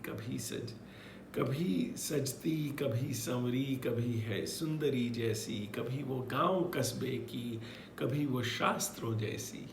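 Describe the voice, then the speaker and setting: A man reciting his own poetry, repeating lines and shifting between loud declaiming and hushed asides. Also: medium pitch, vocal fry, roomy sound, a little hiss or hum. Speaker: poet.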